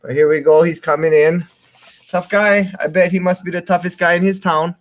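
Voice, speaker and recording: A man's voice: sits high, fairly even intonation, brisk. A man in his thirties giving casual, rambling live fight commentary, often repeating his phrases. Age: 30s. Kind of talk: casual live play-by-play chat.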